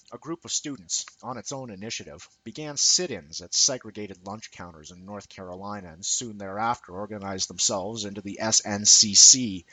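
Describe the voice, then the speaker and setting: Male narrator for essay reading, lowish pitch, somewhat rough-edged tone, dry close sound. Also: sharp tone, no room sound, poor audio. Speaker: amateur reader of an essay. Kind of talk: scripted essay read aloud as narration.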